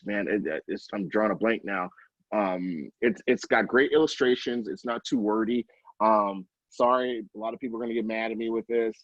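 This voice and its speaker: A middle-aged man giving casual interview answers as an early childhood educator, halting and often interrupting himself with informal asides. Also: medium pitch, no echo, muffled recording.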